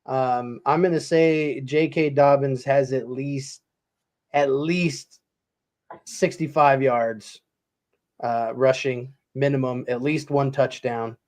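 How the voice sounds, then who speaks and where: A man in his late thirties co-hosting a sports show, laid-back and slangy, quick to laugh. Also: mid-range voice, fairly even intonation, darkish tone. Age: 30s. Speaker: co-host. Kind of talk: casual sports talk.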